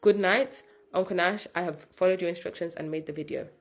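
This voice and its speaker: A woman's voice: lowish voice, brisk. A young woman who grew up in the UK, talking straight to camera in a casual, passionate opinion monologue and repeating words for emphasis. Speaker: vlogger.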